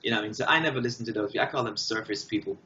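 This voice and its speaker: A man speaking as an interview guest, giving impassioned, emphatic answers in short, deliberate, declarative phrases. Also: on the low side, sharp tone. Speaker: guest artist. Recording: dull, roomy echoing sound.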